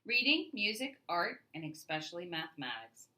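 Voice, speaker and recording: Bright-voiced woman reading a picture book aloud. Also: fairly low pitch, very animated, normal speed. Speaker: read-aloud storyteller. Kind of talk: expressive children's picture book read-aloud narration.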